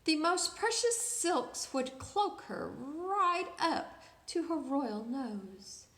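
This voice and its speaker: A woman's voice: sits high, animated, drawn-out words, a bit bright. A woman reading a picture book aloud to children expressively, drawing out words and putting questions to her listeners. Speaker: storyteller, children's read-aloud host. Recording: echoey room.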